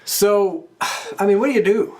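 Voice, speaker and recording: A man co-hosting a podcast, chatting informally and haltingly about wrestling. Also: high-pitched, a little mellow. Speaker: podcast co-host. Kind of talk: casual wrestling discussion.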